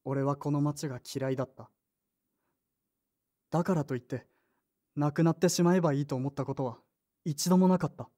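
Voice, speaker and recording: A woman's voice: deep voice, normal speed, pauses often, mellow, close to an everyday voice. A woman playing a brusque, moody teenage boy in an audio drama, with blunt, casual boyish speech. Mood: somber. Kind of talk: Japanese audio drama acting with inner narration.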